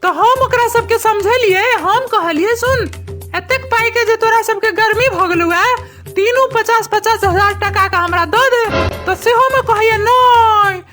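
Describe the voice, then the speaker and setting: A woman's voice: extremely high pitch, measured pace, bright, forceful tone, heavily acted. A woman voicing a gullible, plaintive young village shopkeeper who explains at length in a comic cartoon. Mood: earnest storytelling. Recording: music behind the voice.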